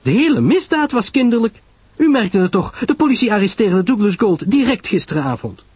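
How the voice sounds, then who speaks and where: A man's voice: high-pitched, sing-song, moderate pace, a little mellow, husky, no room sound, voice pushed up, heavily acted. A man voicing an emphatic, reproachful young society woman in a mystery audio drama. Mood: indignant. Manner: emphatic, exclaiming.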